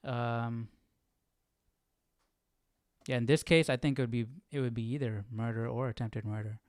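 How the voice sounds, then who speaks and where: A man with a clear, smooth voice talks casually as a live study host. He thinks aloud in a hesitant, searching way and often ends a thought with a questioning tag. The sound is dry and close, with no room ring. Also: mid-range voice, fairly even intonation, normal speed, a little mellow, no room sound. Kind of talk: casual reaction commentary.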